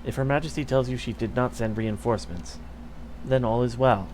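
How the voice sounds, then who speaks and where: A man voicing a scheming courtier who speaks in a measured, insinuating way. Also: mid-range voice, a little mellow, a little hiss or hum, lightly acted, low-quality recording. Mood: sly.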